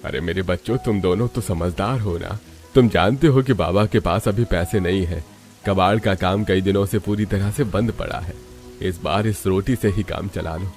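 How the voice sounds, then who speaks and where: A man voicing a weary, worried elderly family patriarch in a cartoon story, plaintive and gentle. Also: low-pitched, moderate pace, faint music behind. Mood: gently consoling. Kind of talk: Hindi cartoon story acting.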